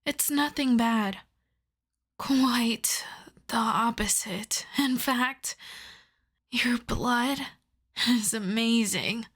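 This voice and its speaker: Girl doing a polite vampire lady for asmr roleplay, soft n breathy, bit high, really slow talking, coyly obsessed. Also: full character voice. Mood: reassuring, measured. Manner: soft-spoken, courteous, measured, often breathy. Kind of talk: ASMR roleplay, addressing the listener.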